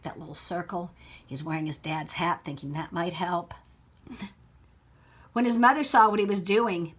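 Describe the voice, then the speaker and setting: A woman reading a story aloud to children like a teacher, expressive, with explanatory asides and questions for young listeners. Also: mid-range voice, husky. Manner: expressive, child-directed, pausing to ask questions.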